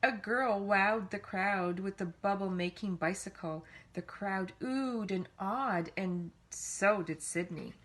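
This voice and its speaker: A woman reads a children's storybook aloud in an animated way, leaning on key words with dramatic emphasis. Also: mid-range voice, takes it slowly, few pauses. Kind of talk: expressive read-aloud storytelling.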